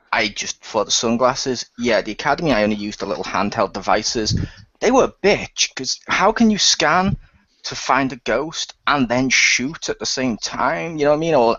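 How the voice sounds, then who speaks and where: A man's voice: medium pitch, a bit bright, no room sound. Sarcastic ghost-hunter recruit, played by a man, muffled audio. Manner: sarcastic, chatty. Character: skeptical, wisecracking new male ghost hunter recruit. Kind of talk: improvised role-play radio play.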